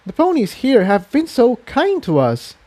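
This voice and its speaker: A man voicing a gentle, curious female pony with a wistful, inquiring manner in a fantasy story. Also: very high voice, wildly swooping pitch, normal speed, no room sound, voice pushed up, full character voice.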